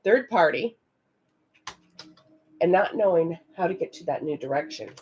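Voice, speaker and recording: A woman with a raspy voice giving a live-stream psychic card reading, chatting directly with viewers in the comments. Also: fairly low pitch, expressive, normal speed, dull audio, slight background noise. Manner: chatty, talks to viewers in the chat. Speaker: psychic reader.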